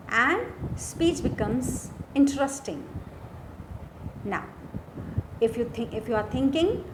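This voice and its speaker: A woman with an Indian accent teaching a lesson from slides in a deliberate way, repeating key phrases. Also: a little high, background noise. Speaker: teacher, lecturer. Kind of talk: instructional lesson explaining slides.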